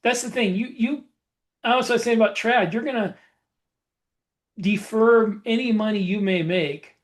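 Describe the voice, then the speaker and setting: A man co-hosting a podcast, explaining things in a casual, matter-of-fact way. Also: high voice. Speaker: podcast co-host, indie author. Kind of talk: casual advisory chat.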